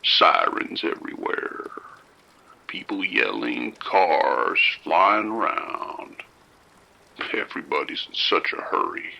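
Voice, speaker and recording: A man doing a creepy abductor with a voice sunk way down low and full of grit, husky and breathy at the edges. he drawls slowly but his tone swoops up and down in lively turns, soothing and menacing at once in this audio drama. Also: full character voice, poor audio. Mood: coaxing menace. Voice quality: gravelly whispery voice. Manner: slow, coaxing and unhurried. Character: creepy soft-spoken male abductor, calm and menacing.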